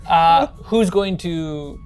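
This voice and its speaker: Female game master, low register, conversational tabletop narration over music. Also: extremely slow, darkish tone.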